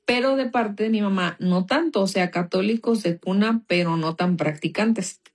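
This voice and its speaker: A woman's voice: mid-range voice, moderate pace. A young Mexican woman with a Mexican accent, telling her personal faith story in a casual, chatty way full of small fillers. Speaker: guest giving a testimony.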